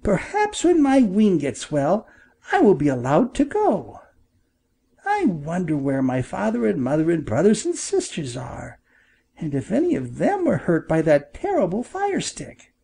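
A man puts on a young boy quail from a children's storybook, timid and thankful. The voice is pitched high, with a soft mellow tone and an earnest, musing manner. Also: huge pitch swings, measured pace, gravelly, breathy edge, exaggerated voice. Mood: wistful.

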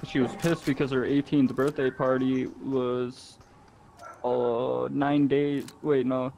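Male gamer, medium pitch, casual sweary slang, faintly noisy chat recording. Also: little pitch movement, slow pace, darkish tone. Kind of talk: casual chat during a game. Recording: slightly noisy game voice chat.

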